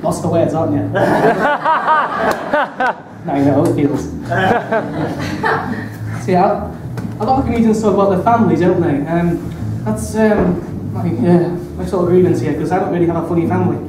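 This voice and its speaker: A man's voice: sits high, normal speed, long pauses. Young male stand-up with a stutter, self-deprecating and nervous; handheld mic in a small club, roomy and noisy. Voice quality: stutters, repeating words. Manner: nervous, self-mocking, laughing at own jokes. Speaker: stand-up comedian. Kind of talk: stand-up comedy set. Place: small dark club stage. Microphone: handheld mic, filmed from far in the room. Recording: echoey club room taped from far off, loud room noise.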